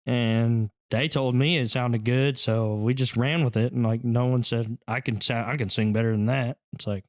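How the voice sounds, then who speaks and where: A man's voice: mid-range voice, fairly even intonation, normal speed, dry recording, clean studio sound. A man from Texas, a band's lead singer talking in a casual, modest, plain-spoken way as a podcast guest. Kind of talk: casual chat.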